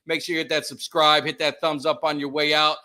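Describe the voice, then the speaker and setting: A man's voice: a little high, flat delivery, fairly quick, bright, forceful tone, smooth. A middle-aged man hosting a casual live stream, upbeat and keen to plug his channel. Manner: upbeat, plugs the channel often. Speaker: podcast host.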